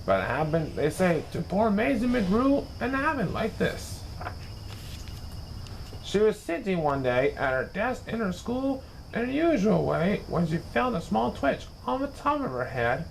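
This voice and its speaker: Lively man reading a rhyming storybook. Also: high-pitched, a little slow, gravelly, a little hiss or hum, poor audio. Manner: theatrical, acts out characters. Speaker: storyteller reading a children's book aloud. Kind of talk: animated rhyming storybook narration.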